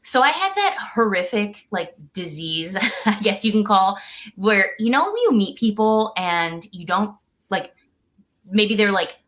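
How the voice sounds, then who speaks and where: A woman's voice: medium pitch, very animated, unhurried, a bit bright. A woman in her thirties from the United States, a podcast guest talking casually from home over a call, chatty and laughing often.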